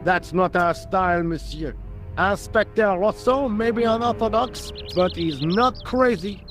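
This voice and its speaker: An actor playing a weary, condescending French police sergeant in a video game, formal and droll, with a French accent. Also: male voice, high-pitched, unhurried, a bit bright, soft background music. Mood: dismissive. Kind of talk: video game dialogue acting.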